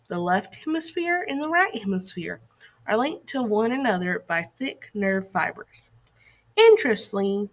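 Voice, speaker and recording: Woman reading aloud with lively, varied pitch. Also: low-quality recording. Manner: instructional, addressing young listeners with questions and directions. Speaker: teacher reading a lesson aloud. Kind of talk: scripted educational read-aloud for children.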